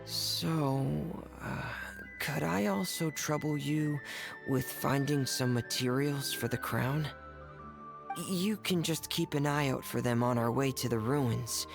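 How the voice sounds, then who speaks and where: A man playing a shy, earnest, soft-spoken teenage boy in a video game cutscene, reserved and hesitant. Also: mid-range voice, takes it slowly, vocal fry, over music.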